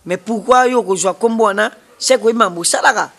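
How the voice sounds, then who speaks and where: A man's voice: high-pitched, a little fast, creaky, a bit bright. A young man with a Congolese accent, a musician guest in a TV studio interview, talking animatedly and gesturing with his hands. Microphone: standing studio mic.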